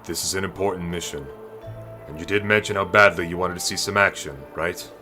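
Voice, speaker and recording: A man playing a stern, authoritative fantasy knight captain who gives clipped orders with a gruff but fatherly air. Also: low voice, fairly even intonation, fairly quick, lightly acted. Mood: commanding. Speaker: military commander. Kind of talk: fantasy audio roleplay acting. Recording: orchestral game music behind.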